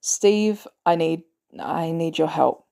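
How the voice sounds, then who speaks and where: Lady doing a soldier guy on the run, blunt and urgent, kinda mellow voice, audiobook. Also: sits low, slow pace, gravelly, full character voice. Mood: strained. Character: gruff, desperate male soldier on the run.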